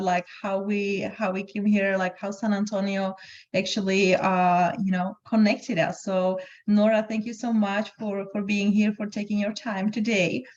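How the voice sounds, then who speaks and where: A woman interviewer with a fairly even, flat delivery opens the conversation casually, with plenty of "like" and "you know." Her audio is muffled and lo-fi. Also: medium pitch. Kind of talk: interview introduction.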